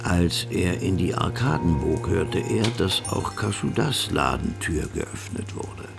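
A man's voice: low voice, expressive, unhurried, gravelly. A man narrating a radio drama from a script. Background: music.

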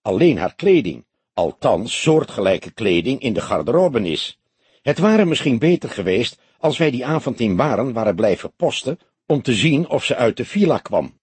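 A man voicing an older male police detective in an audiobook, calm, sceptical and deliberate, with measured, formal phrasing. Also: medium pitch, slightly rough, no room sound. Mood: resigned. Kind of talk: audiobook character dialogue.

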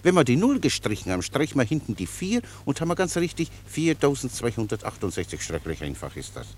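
An actor in a German radio play, playing a cheerful, long-winded common soldier who explains things pedantically with naive obedience. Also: male voice, medium pitch, huge pitch swings, fast, a little mellow, a little hiss or hum. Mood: chatty, unruffled. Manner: chatty, rambling, pedantically explanatory.

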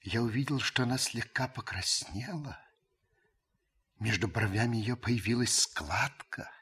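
An actor playing an observant, reserved old French uncle who narrates a radio play in a measured, literary way. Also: male voice, mid-range voice, fairly bright tone, husky. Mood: watchful, restrained.

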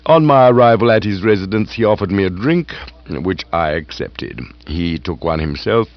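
A man reading aloud voices a calm, deferential male valet who speaks in a measured, formal way. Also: deep voice. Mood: explaining. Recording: dull, dry recording.

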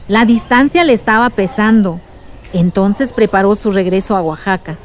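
Una actriz de radionovela que interpreta a una tía resuelta que cuenta y explica sus vivencias a su sobrina. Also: female voice, mid-range voice, slight background noise, natural voice. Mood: grave narrating. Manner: storytelling, explanatory. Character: aunt recounting past dangers to her niece, warm, resolute. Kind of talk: radio drama acting.

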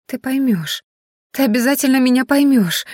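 A frightened young wife in a fantasy audiobook, played by a woman narrator, pleading in a higher, trembling voice. The delivery is tearful and imploring, recorded dry and close. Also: very slow, vocal fry, breathy edge, no room sound, exaggerated voice. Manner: stammering, imploring.

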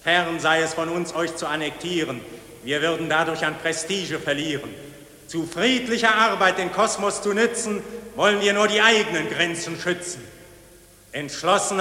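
High, echoing, merciless cosmic judge. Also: male voice, sharp tone. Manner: declamatory, proclaiming in measured rhyme. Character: solemn, merciless cosmic voice passing judgment on mankind. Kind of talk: declaimed rhymed verse, vintage German radio drama. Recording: echoey hall with long reverb.

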